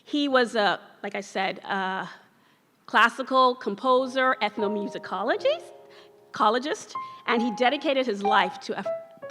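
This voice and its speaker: Nigerian-born woman singer, easygoing stage patter, Igbo first language, long hall echo with faint music. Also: medium pitch. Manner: chatty, many fillers and restarts, laughs easily. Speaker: classical singer introducing songs. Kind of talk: casual on-stage song introduction. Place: town hall concert stage.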